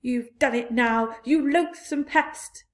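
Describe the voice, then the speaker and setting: A woman voicing a timid male earthworm in a storybook, speaking in hushed, anxious scolds. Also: high-pitched, slow pace. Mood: scolding. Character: timid fretful male earthworm, nervous whisperer.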